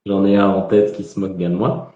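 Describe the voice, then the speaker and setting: A man's voice: deep voice, level tone, brisk, dark tone. A man with a Belgian French accent, a guest on a live video talk, speaking with many hesitations and fillers. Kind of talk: casual live interview. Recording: echoey, dull audio.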